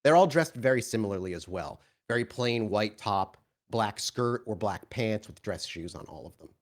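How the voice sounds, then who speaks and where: A man improvising tabletop game narration as game master, in a halting, descriptive storytelling manner. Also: fairly low pitch, expressive.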